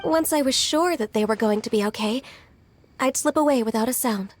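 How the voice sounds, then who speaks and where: An actress playing a gentle, shy young woman in a video game, soft-spoken, earnest and a little hesitant. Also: fairly high pitch, brisk, a little hiss or hum, lightly acted. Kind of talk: video game character acting. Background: music.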